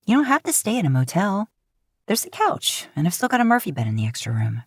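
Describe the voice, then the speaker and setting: A woman voicing a steady, caring, middle-aged motherly character in a story. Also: medium pitch, fast, slightly rough, no echo, clean studio sound, heavily acted. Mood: welcoming.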